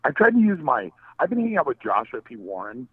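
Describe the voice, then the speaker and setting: A man's voice: medium pitch, huge pitch swings, quick pace, husky, dry recording. A middle-aged man talking as a guest on a casual radio interview, rambling and joking. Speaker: guest paranormal investigator. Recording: tinny phone line, dry.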